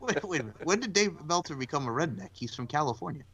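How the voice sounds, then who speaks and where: A man hosting a casual wrestling podcast, animated and laughing often. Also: fairly high pitch, fast, breathy edge. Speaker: podcast host.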